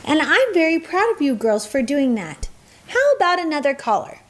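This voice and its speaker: Casting: woman as supportive mom in radio play, high voice, lively intonation, gentle manner. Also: normal speed. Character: supportive, calm mother producing her daughters' podcast.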